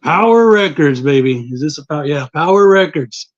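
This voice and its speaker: A man's voice: fairly high pitch, lively intonation, normal speed, no echo, lo-fi sound. A man from West Texas chatting casually about his comic and record collection, drawling and easygoing. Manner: laid-back, drawling, says "baby" and "man". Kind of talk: casual group chat on a livestream.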